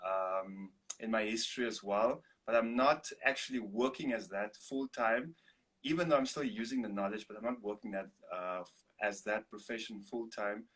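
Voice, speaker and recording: A young man from Namibia whose first language is Afrikaans, chatting in a relaxed, rambling way as a guest on a video-call interview. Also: fairly low pitch, normal speed, slightly rough. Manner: relaxed, rambling with restarts and laughs. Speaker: guest, singer-songwriter.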